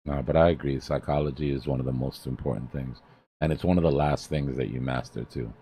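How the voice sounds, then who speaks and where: A man's voice: extremely low pitch, fairly even intonation, a little fast, dark tone, breathy edge. A man hosting a live trading stream, talking casually like a mentor in a blunt, emphatic way and repeating himself for stress.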